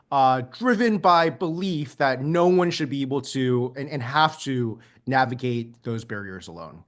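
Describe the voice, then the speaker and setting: Earnest higher-pitched man, light laughs. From United States. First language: Mandarin. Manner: earnest, laughs lightly between points, many ums. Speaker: guest. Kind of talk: reflective interview answers.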